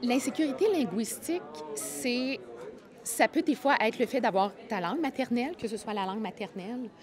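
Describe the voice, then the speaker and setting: A woman explaining an idea with many hesitations and fillers. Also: a little high. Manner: filler-heavy, halting. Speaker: interviewee. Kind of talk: explanatory testimony. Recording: crowd chatter behind.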